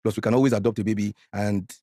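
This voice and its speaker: A Nigerian man in his forties telling a painful personal story as a podcast guest, animated and slipping into Pidgin when worked up. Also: quick pace, no room sound, studio quality. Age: 40s. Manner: animated, mixes Pidgin, gets loud and high when worked up.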